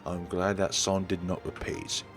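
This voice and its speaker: A man with a fairly low voice reads a visual novel aloud as a let's play host. He narrates the prose with brief asides, sometimes correcting himself. Faint music runs behind him. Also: monotone, measured pace. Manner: stumbles over read lines and corrects himself.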